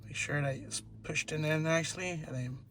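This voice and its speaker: A man with a slightly high voice talks through a hands-on repair job as a DIY presenter. He goes step by step, haltingly, repeating himself as he instructs. The amateur recording has clear background noise and clicking. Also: a bit bright. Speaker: DIY car tutorial presenter. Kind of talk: hands-on how-to narration, unscripted.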